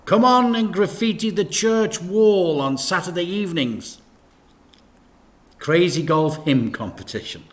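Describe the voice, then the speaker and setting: A man reading a children's story aloud in an expressive, deliberate way with dramatic emphasis. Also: sits high.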